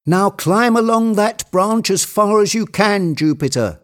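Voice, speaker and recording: An agitated, domineering storybook man, acted out by a male reader. His voice jumps well above a normal male register and swoops animatedly as he issues urgent commands. He sounds obsessive and excitable. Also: high-pitched, measured pace, pitched above the performer's own voice. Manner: imperious, agitated, exclaiming.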